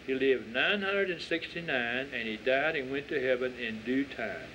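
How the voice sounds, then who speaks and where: A man's voice: medium pitch, moderate pace, close to an everyday voice. A man voicing a pious, know-it-all little girl from the rural South, speaking emphatically in a folksy story reading. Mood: emphatic, certain. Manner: folksy, emphatic, Bible-citing. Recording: dull lo-fi audio with faint noise.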